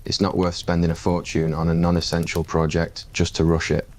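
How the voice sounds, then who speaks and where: A man playing a deep, commanding young king. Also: monotone, a little hiss or hum, natural voice. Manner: low, slow and deliberate. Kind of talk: ASMR roleplay.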